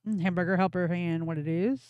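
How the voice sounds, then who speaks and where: Female co-host, teasing and quick, smooth clear voice. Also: on the low side, fairly even intonation, dry recording, narrow, tinny audio. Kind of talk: casual livestream chat.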